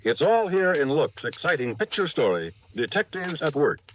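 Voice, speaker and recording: A man's voice: on the high side, very animated, normal speed, a little hiss or hum. A man with an American accent delivering scripted 1950s radio announcements in a projected, polished, dramatic style. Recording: vintage radio broadcast over loud music. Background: loud music.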